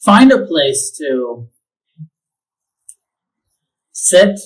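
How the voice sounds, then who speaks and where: A man guides a meditation at a very slow pace, leaving long pauses between phrases. His voice sits fairly high and calm. He sounds set back from the microphone. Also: far from the mic, poor audio. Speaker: meditation teacher.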